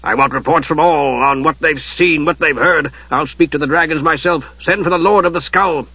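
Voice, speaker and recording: A man's voice: a little high, moderate pace, bright, forceful tone, husky, dry recording, lo-fi sound, heavily acted. A man doing a stern, commanding warlord. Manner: curt, commanding.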